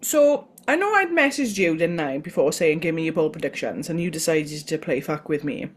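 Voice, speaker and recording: A Welsh woman with a fairly low voice co-hosts a relaxed chat. She talks easily, often breaks off and restarts her sentences, and keeps the tone casual and friendly. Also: normal speed. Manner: chatty, trails off and restarts. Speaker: podcast co-host.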